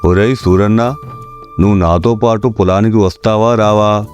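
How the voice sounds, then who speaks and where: A stern elder brother and hardworking farmer, played by a man in a comic village tale. His voice is low and blunt, scolding and fed up, over faint music. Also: fairly even intonation, normal speed, darkish tone, close to an everyday voice. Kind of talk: comic Telugu moral-story voice acting.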